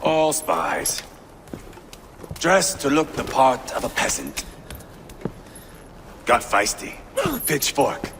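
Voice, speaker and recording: An actor playing a cruel, mocking Viking warlord in a video game cutscene, with sneering, menacing taunts. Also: male voice, mid-range voice, animated, takes it slowly, pauses often, big-room sound, noisy background.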